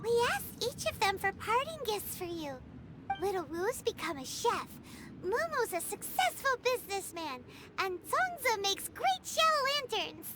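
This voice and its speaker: An actress voicing a small, cheerful companion creature who chats with everyone and is quick to snap back. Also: female voice, very high voice, big pitch swings, very noisy, heavily acted. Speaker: game character. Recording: game audio with loud music.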